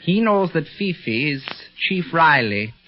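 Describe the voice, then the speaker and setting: A man playing a polite, slightly halting Chinese tea house owner and secret operative in a 1930s radio serial. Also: a little high, sing-song. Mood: grave. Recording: dull old radio recording with music.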